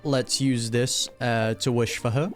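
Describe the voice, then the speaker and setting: A man's voice: medium pitch, steady tone, unhurried. A man giving casual, slangy live commentary as a gaming streamer, with wry asides. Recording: game music behind the commentary.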